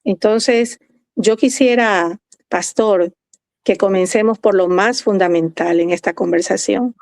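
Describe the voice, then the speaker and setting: A woman's voice: mid-range voice, unhurried, dry recording. Woman host, dry close sound. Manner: formal, warm introductions, hesitant fillers. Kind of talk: podcast interview hosting.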